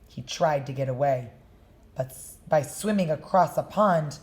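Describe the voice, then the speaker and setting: A woman reading a children's story aloud with slow, expressive storytelling. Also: low-pitched. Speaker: storybook reader.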